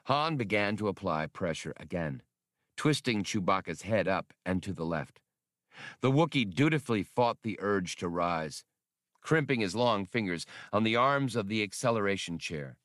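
Low, dry-recorded male audiobook narrator. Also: animated, normal speed, a bit bright, no echo. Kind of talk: scripted narration of an adventure novel.

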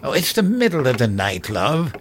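A man playing a gentle, bereaved elderly husband and father in a horror audio drama, hesitant and pleading. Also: medium pitch, big pitch swings, normal speed, slight background noise, close to an everyday voice. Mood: alarmed, reluctant.

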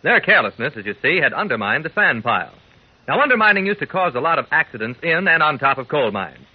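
Male 1940s radio announcer, earnest and folksy, slightly high husky voice with lively emphasis. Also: fast talker. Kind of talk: 1940s radio public-service talk to young listeners. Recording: muffled old radio recording.

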